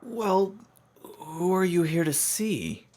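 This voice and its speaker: A man voicing a nervous but bold young pony in a story, in a raised, lighter voice with an anxious, forceful manner. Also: high voice, slow pace, pitched above the performer's own voice. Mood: startled, nervous.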